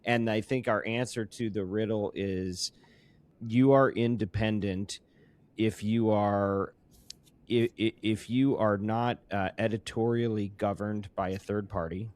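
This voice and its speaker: A man hosting a conversational industry interview, speaking haltingly and repeating words as he works toward his questions. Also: lowish voice, fairly even intonation, darkish tone, dry recording. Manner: halting, stammering word repeats while forming questions. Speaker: podcast host.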